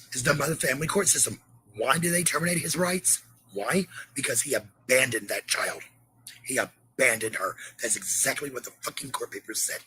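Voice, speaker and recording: A man's voice: mid-range voice, bright, forceful tone, a little hiss or hum, low-quality recording. A man on an angry livestream rant, combative and swearing heavily, repeating phrases for emphasis. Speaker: parent hosting a livestream.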